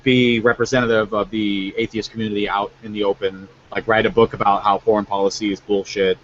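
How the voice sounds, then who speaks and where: A man's voice: fairly low pitch, flat delivery, fairly quick. A man in his mid-twenties in a casual group chat, talking bluntly and swearing casually. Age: 20s. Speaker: podcast guest. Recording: dull lo-fi sound with faint noise.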